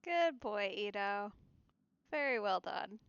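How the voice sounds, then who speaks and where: A woman streaming a game, giving casual commentary in halting little asides. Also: medium pitch, lively intonation, fairly bright tone, muffled recording, slight background noise. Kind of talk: casual let's play commentary.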